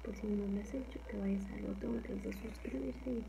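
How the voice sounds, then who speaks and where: Soft-spoken Mexican woman, gravelly low murmur. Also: fairly even intonation, normal speed, background noise. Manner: rambling, soft, often drops to a whisper. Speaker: ASMR channel host. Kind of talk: casual soft-spoken chat. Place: small home kitchen, indoors.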